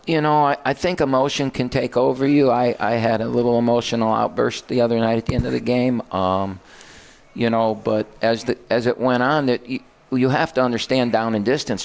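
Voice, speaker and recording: Older man, basketball coach, low pitch, rambling, self-correcting, casual radio talk. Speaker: college basketball head coach.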